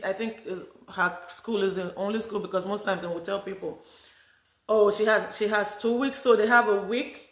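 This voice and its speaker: A middle-aged woman from Africa talking casually to camera as a vlogger, rambling with many restarts. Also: mid-range voice. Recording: echoey room.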